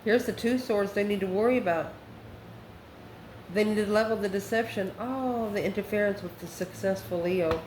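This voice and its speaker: A woman's voice: mid-range voice, moderate pace, a little mellow. Woman, tarot reader, casual improvised delivery, thinking aloud, echoey room, faint background noise. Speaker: tarot reader, online channel host.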